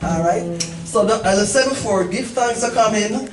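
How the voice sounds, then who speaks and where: A woman's voice: medium pitch, normal speed. A middle-aged woman, telling a story informally and emphatically to a gathering as its host, repeating phrases and checking in with listeners. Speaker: event organizer and host. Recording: echoey, distant mic, noisy live gathering.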